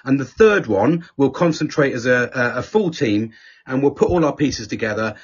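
A middle-aged man with a husky voice, telling a story in casual, sweary podcast chat and acting out the people he quotes. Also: mid-range voice, animated, moderate pace. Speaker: podcast host. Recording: dull lo-fi podcast audio.

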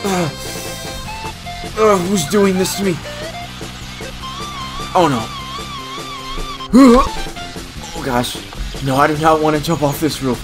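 A man's voice: mid-range voice, sing-song, takes it slowly, pauses often, music in the background, pitched above the performer's own voice. A man voicing a panicky, whining man who pleads and exclaims in a comic machinima-style cartoon. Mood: panicked, pleading. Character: panicky whining man under someone's control.

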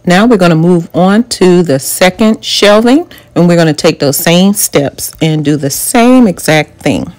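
Woman, low-pitched, home DIY vlogger, step-by-step voice-over, faint music behind. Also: lively intonation, measured pace, hardly any pauses, a little mellow. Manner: step-by-step explanatory, with filler ums. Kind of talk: voice-over narration of a DIY project.

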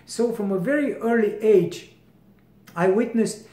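A man's voice: high voice, a little slow, dark tone. Earnest Bulgarian-accented man in his fifties. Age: 50s. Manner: earnest, repeats and restarts phrases, gestures broadly with both hands. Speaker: brand founder. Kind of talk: direct-to-camera promotional talk. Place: home hallway indoors. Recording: echoey room.

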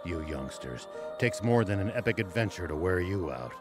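A man playing a gruff, serious old sheriff and veteran soldier in a video game, speaking in a measured, weary way. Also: sits low, lively intonation, over music. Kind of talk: video game cutscene dubbing.

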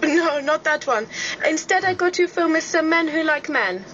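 A woman's voice: high voice, lively intonation, normal speed, a bit bright, slight background noise. A woman voicing a fake Spanish female hotel receptionist, speaking with prim, scripted politeness. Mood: polite. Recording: dull radio studio sound.

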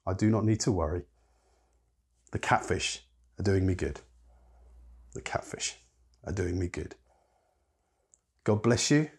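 A man's voice: sits low, a little slow, pauses often, slightly rough. A man in his fifties giving an earnest recorded sermon from a home study, leading listeners in repeated phrases. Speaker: church elder. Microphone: webcam at desk.

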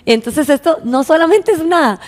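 A woman's voice: high-pitched, lively intonation, normal speed. A woman in her thirties with a Costa Rican accent chatting unscripted as a television presenter. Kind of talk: unscripted studio chat. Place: indoor television studio. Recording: reverberant TV studio.

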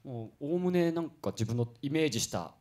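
A man with a mellow, soft-edged voice talks quickly as a radio guest. His pitch moves around a lot as he tells stories in an excitable, polite and casual way. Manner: excitable, animated storytelling with acted-out quotes. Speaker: guest performer new to voice acting.

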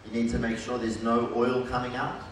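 A man's voice: mid-range voice, fairly quick. A middle-aged man, a chef, explaining a live cooking demonstration step by step to an audience. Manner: relaxed, explains step by step in short phrases. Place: large hall with a stage and audience. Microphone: headset mic. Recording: very echoey hall, heard from a distance, with audible room noise.

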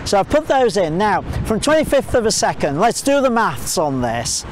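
High-voiced man explaining photography outdoors. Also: sing-song, normal speed, a little hiss or hum. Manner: explanatory, thinks aloud with fillers. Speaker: photography tutor. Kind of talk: outdoor instructional talk to camera. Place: outdoors at a large waterfall. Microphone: clip-on mic, camera at mid distance.

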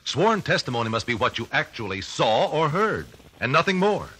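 An actor playing a methodical, confident trial lawyer in an old-time radio drama, American, questioning formally and precisely. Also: male voice, mid-range voice, very animated, normal speed, sharp tone, exaggerated voice, poor audio. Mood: insistent. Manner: precise, formal cross-examining. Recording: raspy vintage 1950s radio recording.

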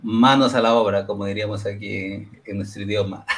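A man hosting a casual video-call interview, asking questions in a friendly, unhurried way. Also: on the low side, a little hiss or hum. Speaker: podcast host. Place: home room with purple lighting.

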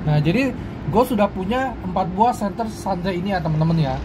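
A woman's voice: medium pitch, fairly quick, noisy background. A woman casually demonstrating flashlights on a table, talking to her viewers like friends. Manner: relaxed Jakarta slang, addresses viewers as friends. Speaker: product reviewer. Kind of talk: casual hands-on product demo.

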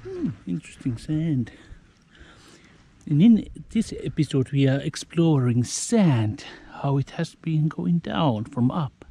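A man in his thirties giving halting, casual off-the-cuff commentary in short muttered remarks as he explores. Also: on the high side, lively intonation, dark tone, breathy edge. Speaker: urban explorer vlogger. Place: abandoned factory grounds, outdoors and in derelict rooms. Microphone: handheld action camera at arm's length. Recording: noisy handheld action camera audio.

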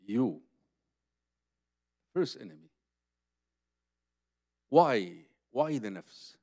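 A man's voice: mid-range voice, very animated, drawn-out words, dark tone, no echo, studio quality. An older man with an Egyptian accent and Arabic as his first language gives a religious lecture in a conversational, emphatic way, repeating phrases for his listeners. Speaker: Islamic preacher. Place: conference hall stage, seated at table. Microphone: microphone on a boom stand.

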